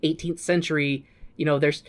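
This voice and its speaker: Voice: medium pitch, level tone, fairly quick. A podcast host chatting casually through a movie recap, with crude, profane joking and bits of acted-out dialogue.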